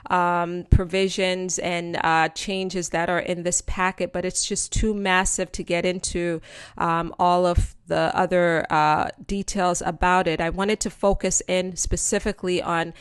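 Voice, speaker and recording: A woman with a Jamaican accent talks viewers through a slide presentation in a relaxed, chatty way, calling them friends and guys and filling pauses with ums. Her voice sits low and sounds smooth and resonant. The recording is close and dry, with no room sound. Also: no room sound. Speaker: host of a channel explaining news to viewers. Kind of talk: casual explainer presentation over slides.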